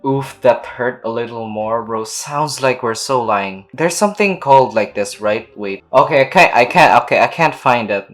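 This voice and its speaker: A woman's voice: very deep voice, moderate pace, hardly any pauses. A woman streaming a game, reacting in casual slangy commentary and reading dialogue aloud. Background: faint game music.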